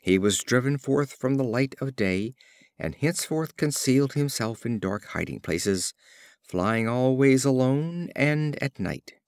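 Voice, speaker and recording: A man reading scripted fables as an audiobook narrator, with an expressive storyteller's delivery. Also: medium pitch, measured pace, dry recording, studio quality. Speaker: audiobook reader.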